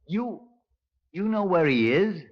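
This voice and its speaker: An actor in a 1950s radio drama playing a dry, stern Scotland Yard inspector, formal, terse and deadpan. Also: male voice, sits high, slow, exaggerated voice. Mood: dry, official. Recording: dull lo-fi 1950s radio recording.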